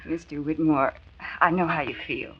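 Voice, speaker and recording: An actress playing a kindly young secretary, polite, earnest and sympathetic, in 1940s radio drama style. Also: female voice, sits low, fairly even intonation, moderate pace, breathy edge. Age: young adult. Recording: dull, noisy 1940s radio transcription.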